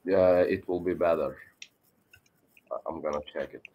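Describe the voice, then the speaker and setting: A man's voice: deep voice, steady tone, normal speed, highs cut off, slight background noise. A man in his twenties chatting over a phone video call, asking short curious questions. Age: 20s. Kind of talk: casual video-call chat.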